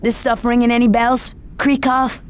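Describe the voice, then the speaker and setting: A woman's voice: a little high, flat delivery, normal speed, a little hiss or hum, natural voice. An actress playing a sardonic, probing female interrogator in a video game, with a dry and pointed delivery. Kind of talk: video game cutscene dialogue. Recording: music behind the voice.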